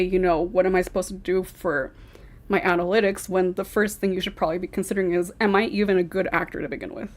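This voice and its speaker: A woman co-hosting a podcast, talking bluntly and emphatically in a casual group chat over a video call. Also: mid-range voice. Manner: blunt, emphatic, repeats points. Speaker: podcast co-host.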